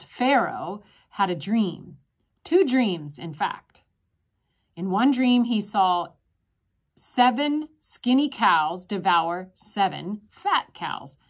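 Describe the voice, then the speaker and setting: A woman in her thirties, a pastor, telling a children's sermon in a gentle, simple storytelling way, recorded on a phone in a small room. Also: a little high. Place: small indoor room with a block wall. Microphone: phone camera at arm's length.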